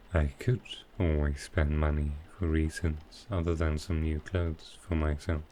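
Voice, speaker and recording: Guy doing a super deep smooth villain for asmr, flirty and soft spoken, unhurried, bit of noise behind him. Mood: flirtatious. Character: smooth, flirtatious male supervillain courting his tailor. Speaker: voice actor in audio roleplay. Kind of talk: intimate ASMR roleplay.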